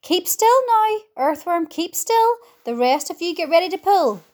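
A woman's voice: extremely high pitch, lively intonation, unhurried, darkish tone, pitched above the performer's own voice. A woman voicing a brave young boy in a storybook, urgent and commanding. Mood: hushed urgency.

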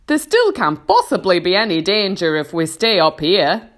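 A woman voicing a brash male centipede from a storybook, loud and scoffing. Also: on the low side, huge pitch swings, moderate pace, voice pushed up. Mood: blustering.

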